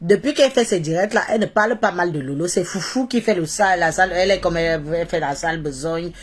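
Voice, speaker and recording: A woman's voice: fairly low pitch, quick pace, slightly rough. Congested Cameroonian woman ranting casually. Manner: mocking, opinionated, repeats phrases for emphasis. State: congested with a cold. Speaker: social media live streamer. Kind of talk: casual live-stream rant to followers.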